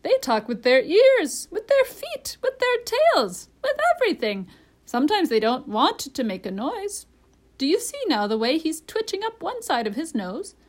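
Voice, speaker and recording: A woman voicing a proud, bossy, opinionated female parrot in a high voice, speaking emphatically as if giving lessons. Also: very animated, normal speed. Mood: instructive. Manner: emphatic, instructive.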